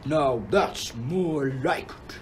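Man acting as slow-speaking, vindictive king of gods. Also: a little high. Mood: calm. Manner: pompous, scornful pronouncements. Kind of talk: amateur radio play acting. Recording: faint background noise.

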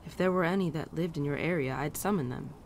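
A woman acts out a gentle female winter spirit, an affectionate old friend with a low voice. She speaks softly and playfully in an intimate ASMR roleplay, often slipping toward a whisper. Birdsong and a light outdoor ambience sit behind her. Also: fast, gravelly, slight background noise. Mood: tender, fond. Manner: soft, tender, playful, often dropping to near-whisper. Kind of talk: girlfriend ASMR roleplay, softly acted monologue.

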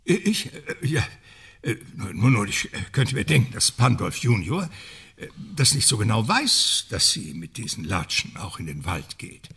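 Fussy sleuth, bright creaky voice. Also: male voice, fairly low pitch, exaggerated voice. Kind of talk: German radio crime drama acting.

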